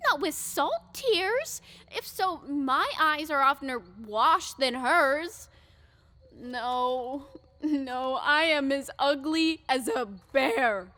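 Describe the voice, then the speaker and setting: A woman's voice: sits high, sharp tone, exaggerated voice. A woman playing a lovesick, self-pitying young woman in a Shakespearean audio drama, pleading melodramatically.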